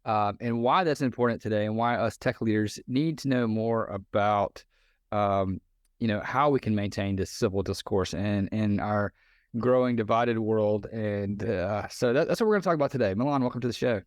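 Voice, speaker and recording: Low-voiced man hosting a podcast. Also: moderate pace, no echo, studio quality. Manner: relaxed, with frequent fillers and restarts. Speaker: podcast host. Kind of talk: conversational interview hosting.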